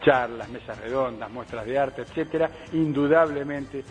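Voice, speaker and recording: A man's voice: mid-range voice, flat delivery, normal speed, faint music behind. A man giving an official statement in a news clip, fluent and formal. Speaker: ambassador interviewed in a news clip.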